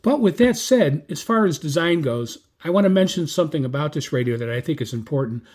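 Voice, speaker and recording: An American man giving a casual, unscripted product review as a gadget reviewer, with a halting, self-correcting delivery. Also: a little high, lively intonation, darkish tone. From United States. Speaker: radio reviewer.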